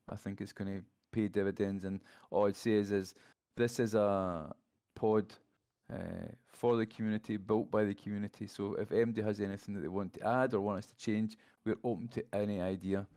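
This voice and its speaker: Flat, low-voiced podcast co-host. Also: male voice, fairly quick. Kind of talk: casual podcast discussion.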